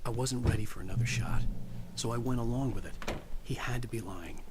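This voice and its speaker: An actor playing a defiant writer held as a patient in a video game, dry and brooding. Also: male voice, mid-range voice, a little fast, very noisy, natural voice. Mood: brooding narration. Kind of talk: video game acting and first-person narration.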